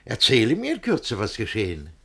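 A man playing a jealous, controlling older guardian with a husky voice, suspicious and seething in theatrical radio verse comedy. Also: medium pitch, fairly quick, full character voice. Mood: alarmed, suspicious. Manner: muttering asides, feigned calm, theatrical outbursts. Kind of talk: German radio play of classic verse comedy.

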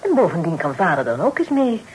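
A teasing young sister in a period radio drama, playful and forthright but well-mannered. Her intonation is very animated, and the old recording has a faint layer of noise. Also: lowish voice, brisk, low-quality recording. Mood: chatty. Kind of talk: Dutch radio play acting, period drama.

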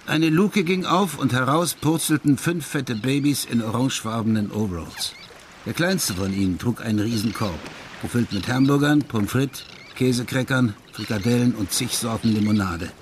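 A man's voice: medium pitch, moderate pace, slight background noise, natural voice. An actor playing a wry, laid-back Dutch ex-police detective telling his tales in a radio crime drama. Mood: amused storytelling.

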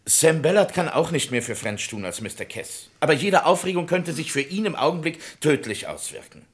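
An actor playing a resigned office colleague in an old German radio crime drama, talking in a measured, placating way. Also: male voice, fairly high pitch, animated, slightly rough. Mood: resigned, soothing.

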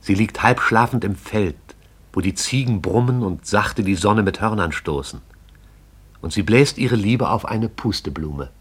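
Low-voiced male radio play narrator. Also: lively intonation, slightly rough. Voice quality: measured, poetic storytelling.

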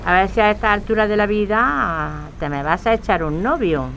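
A woman playing a brisk, caring grown-up daughter who runs a bar, in a naturalistic audio drama. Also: medium pitch, fairly bright tone, highs cut off, slight background noise, close to an everyday voice. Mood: teasing, caring.

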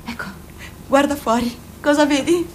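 A woman's voice: high-pitched, normal speed, a little mellow, slight background noise, natural voice. Woman playing a bossy fussing mother. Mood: fussy. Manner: bossy, fussing, fawning to guests. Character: fussy ambitious mother, middle-aged. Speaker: mother of two grown children. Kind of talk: radio drama acting.

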